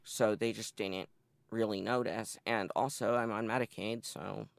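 A man's voice: fairly low pitch, steady tone, unhurried, creaky, dry recording. A man in his twenties from Massachusetts, candidly telling his life story in an interview. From northeastern Massachusetts. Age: 20s. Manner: candid, matter-of-fact storytelling. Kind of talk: oral history interview.

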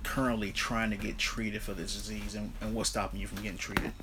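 Thirties guy, earnest interviewer, lowish voice, noisy room. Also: brisk. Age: 30s. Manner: earnest, steady questioning with fillers. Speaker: advocate and interviewer. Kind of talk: recorded advocacy interview. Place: indoors, seated interview.

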